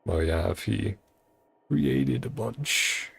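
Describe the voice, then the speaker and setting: A man's voice: fairly low pitch, animated, slow pace, gravelly, husky. A man casually commenting on a game as a streamer, muttering short asides and reading subtitles. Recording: game music behind the voice.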